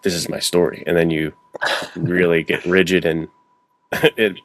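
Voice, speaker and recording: A man's voice: deep voice, little pitch movement, normal speed, creaky, no echo. A man co-hosting a podcast in informal conversation, often acting out his thoughts as little quotes. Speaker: podcast co-host and writer. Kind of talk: casual conversational chat.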